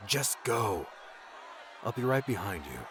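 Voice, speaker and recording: A man's voice: fairly low pitch, big pitch swings, measured pace, fairly bright tone, some chatter behind. An actor playing a young, hardened man who defiantly guards his home, terse and intense, in an audiobook drama. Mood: urgent.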